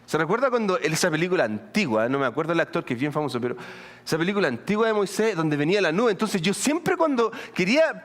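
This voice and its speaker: A middle-aged man with a Colombian accent, preaching a sermon in an animated, humorous way with asides to the congregation. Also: sits high. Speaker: pastor. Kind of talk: sermon with storytelling.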